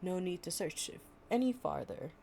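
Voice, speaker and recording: A woman voicing a sly male fox who flatters sweetly in a storybook fable. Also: fairly low pitch, moderate pace, vocal fry, slight background noise. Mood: sly, pleased.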